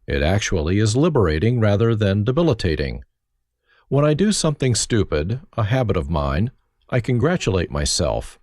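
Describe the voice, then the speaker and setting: A man reads his own life story, his low voice rising and falling with a lot of movement. His humor is dry and self-mocking. The sound is dry and close, with no room around it. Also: very animated, normal speed, a little mellow, no echo. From Germany. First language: German. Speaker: autobiography narrator. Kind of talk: reflective first-person memoir reading.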